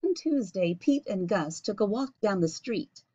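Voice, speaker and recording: A middle-aged woman reading a children's picture book aloud with expressive, deliberate phrasing. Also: mid-range voice, husky. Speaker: storybook reader. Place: small indoor home room. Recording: dull lo-fi webcam recording.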